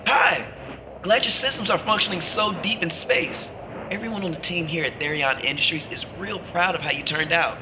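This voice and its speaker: Guy doing a boisterous rich tech boss, sci-fi comedy, kinda high voice, car roaring really loud behind him. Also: moderate pace, close to an everyday voice. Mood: jovial, glib. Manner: breezy, glib, boisterous. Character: eccentric billionaire tech boss, breezy, self-absorbed. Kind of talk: sci-fi audio comedy acting. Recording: very noisy car phone call, vehicle roar.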